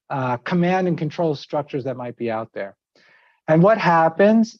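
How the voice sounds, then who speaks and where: A man's voice: a little high, no echo. A middle-aged man from outside New York City giving a seminar talk, chatty and full of restarts and fillers. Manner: conversational, many fillers and restarts. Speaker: seminar speaker. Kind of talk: informal talk with anecdotes. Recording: dry, slightly dull sound.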